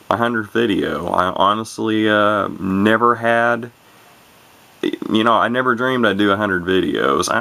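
Hobbyist vlogger, man, low gravelly voice with a bright edge, runs his words together. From Illinois, USA. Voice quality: low gravelly voice, talks monotone. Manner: rambling, many fillers and restarts, runs words together. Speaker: YouTuber showing vintage radios. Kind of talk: casual unscripted talk to camera.

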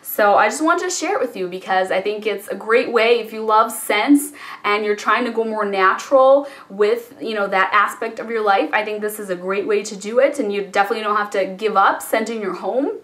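A young woman with a hoarse, cold-worn voice chatting casually to camera about home scents, with plenty of fillers. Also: mid-range voice, a little fast, fairly bright tone. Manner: chatty, lots of fillers like you know and um. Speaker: beauty and home vlogger. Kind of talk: casual product chat to camera.

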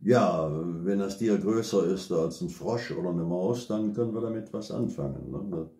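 Calm older man, dark voice, echoey room. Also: sits low, normal speed. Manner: calm, step-by-step explaining with frequent tag "ne?". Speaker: bushcraft expert and father. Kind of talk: relaxed explanatory chat. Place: living room at a table.

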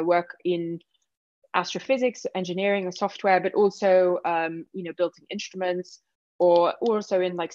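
Woman in her 30s giving a chill talk over zoom, lowish voice, dry slightly muffled sound. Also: no echo. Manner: relaxed, many fillers. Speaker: guest speaker. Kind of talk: online seminar talk. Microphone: video call.

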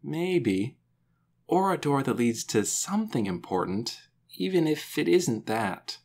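A woman voicing a serious, thoughtful young boy in a children's audiobook, soft-spoken, hesitant and doubtful. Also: a bass voice, sing-song, measured pace, slight background noise, pitched above the performer's own voice, exaggerated voice. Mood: doubtful, musing. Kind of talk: children's audiobook character dialogue.

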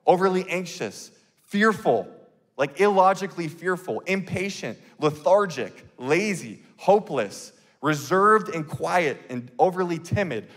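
Pastor guy preaching on stage w handheld mic, kinda high voice, restarts a lot, big echoey hall. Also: a bit bright. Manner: earnest, conversational preaching with quick bursts and repeated restarts. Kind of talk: sermon. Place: large church stage.

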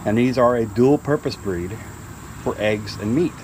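Relaxed man narrating outdoors. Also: medium pitch, unhurried, a little hiss or hum, rough recording. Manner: relaxed, explaining while filming. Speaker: homesteader showing his farm. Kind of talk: casual walk-through narration. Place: farmyard outdoors.

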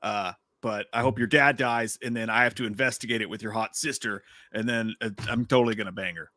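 A man's voice: on the low side, fairly even intonation, a little fast, sharp tone, dry recording. A man in his thirties hosting a livestream, joking crudely and laughing often while reading viewer messages. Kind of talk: casual livestream chat. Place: home room with shelves. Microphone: desk mic.

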